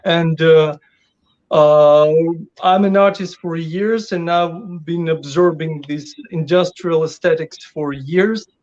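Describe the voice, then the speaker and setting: A man's voice: on the high side, fairly even intonation, slow pace, darkish tone. Guy on a video call, lots of uh and restarts, muffled lo-fi sound. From Ukraine. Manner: hesitant, many fillers and restarts. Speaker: guest artist. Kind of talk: casual interview over a call. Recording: dull lo-fi call audio.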